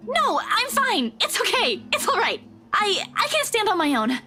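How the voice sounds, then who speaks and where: Young female lead, visual novel: high, expressive voice, flustered, caring, quick to scold, music underneath. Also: heavily acted. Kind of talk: visual novel game dialogue.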